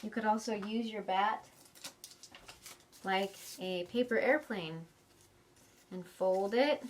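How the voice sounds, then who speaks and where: A woman's voice: medium pitch, slow pace, a little hiss or hum. A woman with an American accent hosting a preschool storytime, speaking simply and encouragingly to young children. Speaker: children's librarian, storytime host. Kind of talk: preschool storytime craft instruction. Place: indoors in a library.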